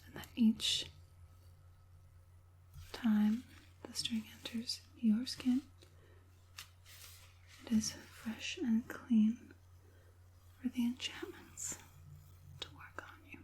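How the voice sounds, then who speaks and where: A woman voicing an evil witch puppet master in a whispered ASMR roleplay, coaxing and falsely caring, slow and deliberate in a breathy hush. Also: on the high side, little pitch movement, exaggerated voice, low-quality recording. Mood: clinical, soothing. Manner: slow, deliberate, soothingly menacing.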